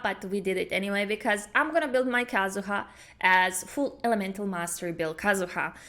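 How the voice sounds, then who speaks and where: A woman hosting a casual gaming reaction video, chatting in an excitable, giggly way. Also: a little high. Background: game music.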